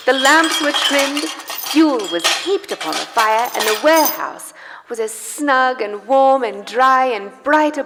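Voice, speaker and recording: A woman narrating a live radio play in expressive, literary storytelling style. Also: fairly high pitch, vocal fry, background noise. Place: church hall, live performance. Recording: live in reverberant church hall with music.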